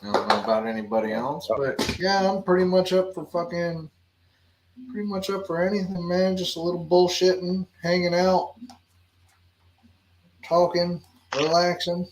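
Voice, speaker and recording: A man's voice: high voice, fairly even intonation, normal speed, slight background noise, rough recording. A man chatting casually on a livestream panel, loose and swearing, laughing easily.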